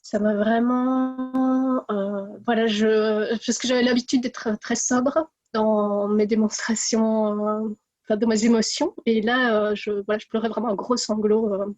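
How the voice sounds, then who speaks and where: A woman in her forties from India, telling her own story as a podcast guest, pausing often with fillers and restarts. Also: on the high side, level tone, darkish tone, no room sound, lo-fi sound. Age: 40s. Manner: hesitant, many fillers and restarts. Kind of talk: personal testimony.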